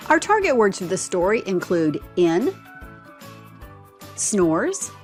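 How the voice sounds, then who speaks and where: Woman, expressive storybook narration, teacherly, child-directed, slow pace, music behind. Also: mid-range voice. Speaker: read-aloud presenter for language teaching. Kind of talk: scripted instructional narration and picture-book read-aloud.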